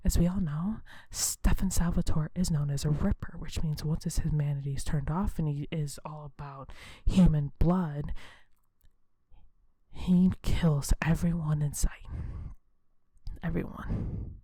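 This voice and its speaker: A woman hosting an ASMR video in a soft, breathy whisper, talking in a hushed, unhurried, conversational way. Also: low voice, fairly even intonation, no room sound.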